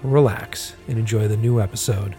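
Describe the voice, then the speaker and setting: Male podcast host, hoarse and raspy, loose chatty delivery full of ums. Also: fairly low pitch, steady tone, moderate pace, dark tone, faint music behind. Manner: rambling, lots of ums and uhs. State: hoarse from a busy week. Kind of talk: casual podcast talk.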